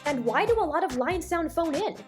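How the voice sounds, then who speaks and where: High-voiced woman giving an opinionated review. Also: animated, brisk, music in the background. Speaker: animation reviewer and video host. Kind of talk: opinionated video review, part scripted narration and part off-the-cuff commentary.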